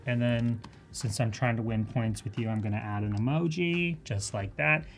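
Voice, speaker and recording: A woman with a Canadian accent giving a live software demonstration in a casual, chatty and enthusiastic way. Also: very deep voice, normal speed. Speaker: presenter of a live software tutorial.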